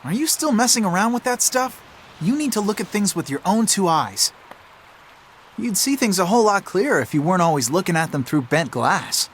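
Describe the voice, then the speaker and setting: A man's voice: sits high, very animated, a little fast, a little hiss or hum. A man with an American accent playing a sensible, knowing older boy who explains things matter-of-factly in a video game dub. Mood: scolding. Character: sensible older boy, knowing, mildly scolding big-brother type.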